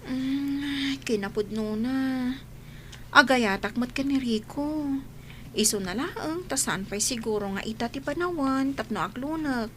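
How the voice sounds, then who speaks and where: Casting: actress for young courted woman, high voice, Ilocano accent, sincere and careful radio drama delivery, slight background noise. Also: measured pace, a bit bright. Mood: earnest, hesitant. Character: earnest, cautious young single woman being courted.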